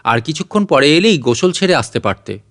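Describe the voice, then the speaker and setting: A man voicing a gentle, homely mother in an audiobook story, tender in manner. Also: fairly high pitch, sing-song, fairly quick. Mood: surprised. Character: gentle, affectionate mother, surprised by her husband. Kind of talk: audiobook character acting.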